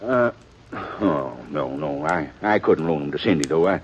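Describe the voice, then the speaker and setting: An actor playing a kindly, flustered, helpful townsman in a 1950s Western radio drama, halting and repeating himself in a rustic drawl. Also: rumbling low, animated. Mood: flustered, pondering. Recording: dull, faintly noisy old radio.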